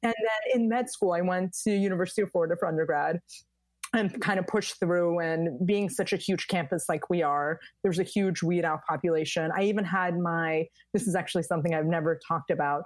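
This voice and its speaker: A woman in her thirties from the United States, a high-energy podcast guest who tells animated stories in casual chat. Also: fairly quick, dry recording.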